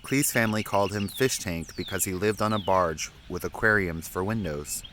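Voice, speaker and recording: A man reading a children's picture book aloud in a measured, expressive storytelling way, pausing between phrases. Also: low voice, a little hiss or hum. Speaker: story reader.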